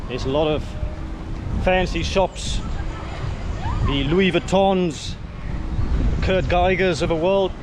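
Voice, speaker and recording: A man's voice: sits high, slow, long pauses, a crowd in the background. A South African man in his thirties, an Afrikaans speaker, chatting and musing aloud as he walks a sunny street and films himself at arm's length. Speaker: travel vlogger. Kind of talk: walking tour commentary. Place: outdoors on a sunny suburban street. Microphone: handheld camera at arm's length. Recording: loud street traffic noise.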